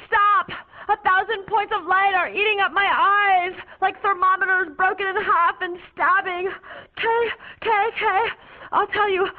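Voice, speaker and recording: A woman playing a high, paranoid young raver rambling dreamily to police officers, her voice tinny and lo-fi. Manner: dreamy, babbling, whimpering. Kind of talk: comic stage monologue. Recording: tinny phone-like lo-fi recording.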